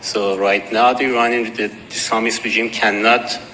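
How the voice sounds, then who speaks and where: A man with a Persian accent giving a conference presentation, measured and dotted with hesitations. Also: on the low side, flat delivery, pushed and bright. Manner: measured, with frequent uh fillers and restarts. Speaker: conference speaker. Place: conference hall. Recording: long hall reverb, faint noise.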